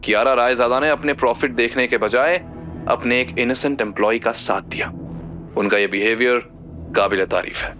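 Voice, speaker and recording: Breaking-news anchor for a drama, done by a male narrator: punchy, high-energy, indignant, music underneath. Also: normal speed, bright, forceful tone, highs cut off, lightly acted. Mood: approving, emphatic. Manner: punchy, declarative, emphatic.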